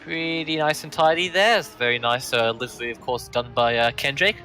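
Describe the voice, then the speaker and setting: A man commentating an online sim race, easygoing and pausing often with fillers. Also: medium pitch, faint music behind. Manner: relaxed, many filler pauses.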